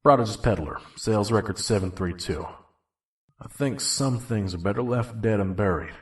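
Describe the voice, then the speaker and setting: A man playing a world-weary, pragmatic pony junk peddler in an audio drama, gruff and brooding. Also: fairly low pitch, moderate pace, recorded from a distance, lightly acted. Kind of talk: audio drama dialogue and first-person narration.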